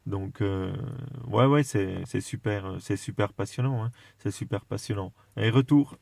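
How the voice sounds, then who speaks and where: A man in a casual radio chat, explaining things with enthusiasm while haltingly searching for his words. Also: lowish voice, vocal fry, a little mellow, no echo. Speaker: amateur radio operator.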